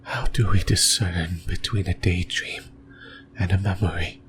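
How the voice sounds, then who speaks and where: A man voicing a lonely, possessive sleep paralysis demon in a creepy, intimate ASMR monologue, whispering breathily and haltingly close to the mic. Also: deep voice, level tone, noisy background. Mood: eerie, musing. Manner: slow, halting, rambling, intimate. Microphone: close microphone, whispering into the ear.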